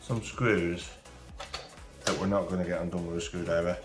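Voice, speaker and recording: A hoarse man with a cold talks through a repair in a relaxed, chatty way. His voice sits very low. The recording has a roomy echo with faint music behind him. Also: normal speed. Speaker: channel host. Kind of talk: casual hands-on repair commentary.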